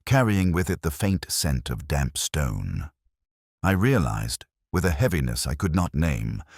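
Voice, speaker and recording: A man with an extremely low, husky voice narrates literary detective fiction. His delivery is measured and melancholic, yet the pitch moves with lively variation. He sounds reflective throughout, as if reading a scripted first-person account. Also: dry recording, clean studio sound.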